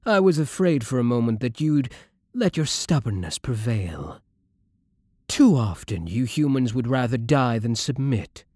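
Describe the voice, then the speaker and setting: Man playing a slow, breathy, seductive vampire lord. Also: mid-range voice, sing-song, a little mellow, no echo, studio quality. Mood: pleased, courtly, then condescending, probing. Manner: courtly, teasing, seductive, menacingly polite. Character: suave, sadistic, possessive aristocratic male vampire lord. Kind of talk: intimate ASMR roleplay acting.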